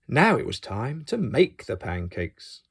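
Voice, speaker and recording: A man reading a picture book aloud to young children as an expressive storyteller. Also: mid-range voice, measured pace, gravelly, breathy edge.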